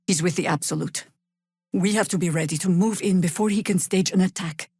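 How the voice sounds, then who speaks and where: An actress voicing a stern, wry veteran druid woman in a fantasy video game, with a dry, sardonic, measured delivery. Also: lowish voice, no echo. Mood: serious, pointed. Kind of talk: fantasy game character dialogue.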